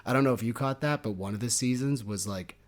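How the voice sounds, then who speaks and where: Jokey, animated young man hosting a podcast. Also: mid-range voice, fast. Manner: jokey and animated, laughs often. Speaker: podcast co-host. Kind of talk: casual book chat.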